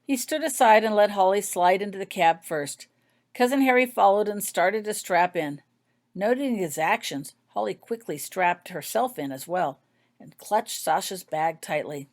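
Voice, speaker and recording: A woman's voice: medium pitch, animated, normal speed. A woman narrating a scripted story, reading her own fiction aloud.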